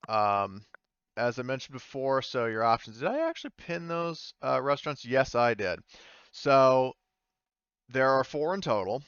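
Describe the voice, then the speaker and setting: A man working as game master, narrating a tabletop game casually and haltingly as he thinks aloud. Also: medium pitch, very animated, a bit bright, smooth, dry recording, lo-fi sound.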